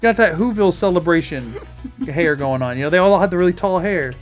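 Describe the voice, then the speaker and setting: A man's voice: high voice, animated, brisk, faint music behind. A man with a Southern US accent, streaming and chatting casually in a rambling, self-interrupting way. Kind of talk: casual chat while gaming.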